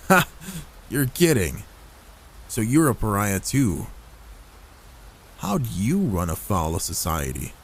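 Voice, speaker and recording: A man voicing an older werewolf, a gruff but gentle protective loner, in a soft, intimate ASMR roleplay with a soothing, fatherly manner. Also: fairly low pitch, sing-song, a little hiss or hum, full character voice. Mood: amused surprise. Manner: soothing, paternal, unhurried reassurance.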